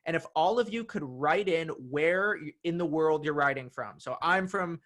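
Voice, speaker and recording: A man hosting an online writing workshop, upbeat and energetic as he introduces the session. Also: a little high, normal speed. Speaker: workshop host. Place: San Francisco.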